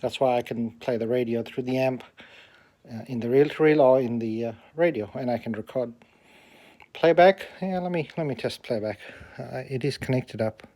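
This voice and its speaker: A man's voice: medium pitch, normal speed, dark tone. A man giving a casual, unscripted equipment demonstration, hesitating and thinking aloud as he goes. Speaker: vintage audio hobbyist demonstrating equipment.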